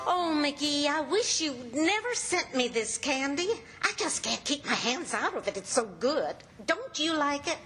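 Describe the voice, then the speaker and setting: A woman's voice: sits high, sing-song, measured pace, hardly any pauses, music in the background. A woman playing a patient, teasing housewife with a wry streak in an old-time radio comedy. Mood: teasing, fond.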